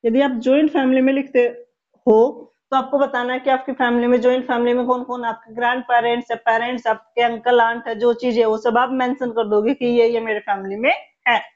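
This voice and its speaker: A woman in her thirties gives a spoken English lesson. She explains in Hindi with English words mixed in, then says each English example slowly, one word at a time. Her voice sits fairly high, and the recording sounds dull and lo-fi. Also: flat delivery. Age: 30s. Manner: slow, spelled-out dictation of English example sentences. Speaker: English teacher.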